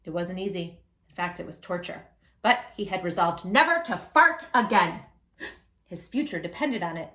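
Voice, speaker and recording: A woman reading a picture book aloud for children in an animated, playful way, talking directly to young listeners. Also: fairly low pitch, moderate pace, echoey room. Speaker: children's storytime host.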